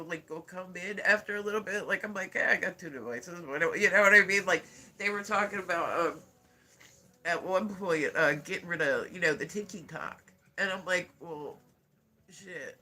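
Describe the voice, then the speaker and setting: A man's voice: high-pitched, moderate pace, sharp tone. Congested, nasal man chatting on a livestream. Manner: rambling, many filler words, frequent laughter. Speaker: live streamer. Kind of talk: casual livestream chat.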